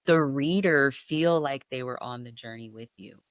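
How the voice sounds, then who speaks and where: A woman hosting a podcast interview, asking questions in a slow, affirming way. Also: rumbling low, no room sound. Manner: slow and affirming, with soft "yeah" and "mm-hmm" replies. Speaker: podcast host.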